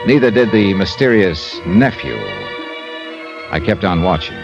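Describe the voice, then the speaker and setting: A dull vintage radio recording with music features a hard-boiled American detective. His lowish voice moves with lively inflection. He sounds shrewd and breezy, in classic radio drama style. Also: measured pace. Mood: brisk, watchful. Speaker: lead actor and narrator. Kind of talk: 1950s-style radio detective narration and acting.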